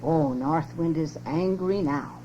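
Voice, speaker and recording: A woman's voice: low voice, slow pace. A woman voicing a boastful, angry male north wind, roaring threats and swooping into long howls in a storybook reading. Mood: scornful roar. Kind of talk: storybook read-aloud. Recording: lo-fi muffled home cassette, loud hum.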